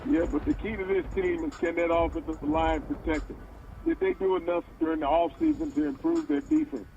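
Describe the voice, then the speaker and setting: A man with a slightly high voice handing down flat, confident predictions on football teams. His line sounds dull and lo-fi, with faint noise underneath. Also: brisk, vocal fry. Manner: short confident verdicts, predicts standings. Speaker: sports talk co-host. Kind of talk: casual sports talk.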